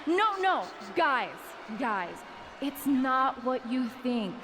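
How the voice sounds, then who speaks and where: An actress playing a smug, scheming wrestler in a video game, with an American accent and sarcastic mock sincerity. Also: female voice, sits high, sing-song, drawn-out words, heavy background noise, heavily acted. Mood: mock-sincere, taunting. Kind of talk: video game cutscene acting, wrestling promo.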